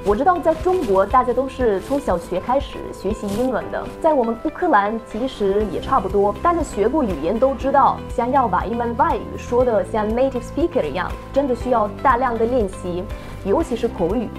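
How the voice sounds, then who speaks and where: A woman from Ukraine narrates a vlog in a friendly, chatty voice that sits a little high. Music plays clearly behind her, and her first language is Slavic. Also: animated, brisk. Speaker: vlogger.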